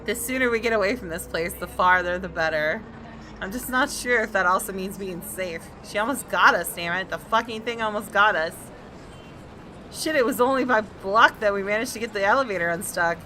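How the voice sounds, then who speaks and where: A woman's voice: medium pitch, fairly quick, sharp tone, slight background noise. A woman hosting a gaming video, reading visual novel narration aloud with dramatic expression.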